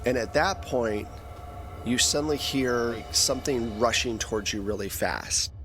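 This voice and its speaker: A man running a tabletop game, laying out the scene and then prompting the players with questions. His voice sits at an ordinary middle pitch, with clear music playing behind him. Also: a little slow. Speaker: game master.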